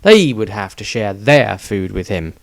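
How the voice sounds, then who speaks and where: A man's voice: lowish voice, animated, unhurried, vocal fry, no echo. A man narrating a scripted fantasy story in a dramatic storytelling style. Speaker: narrator and author.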